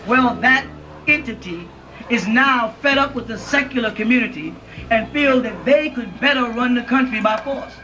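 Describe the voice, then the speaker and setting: High, bright-voiced man lecturing from afar. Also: moderate pace, slight background noise. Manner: emphatic, keeps checking in with listeners. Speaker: lecturer addressing an audience. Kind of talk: informal lecture. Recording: dull lo-fi audio from a distant mic.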